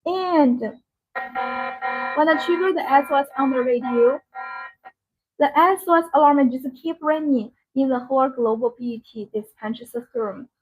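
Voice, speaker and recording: A woman hosting a live product demonstration, speaking in halting, repetitive, non-native phrasing. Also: high-pitched, faint music behind. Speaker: live-stream sales host demonstrating a product. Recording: dull, band-limited live-stream audio.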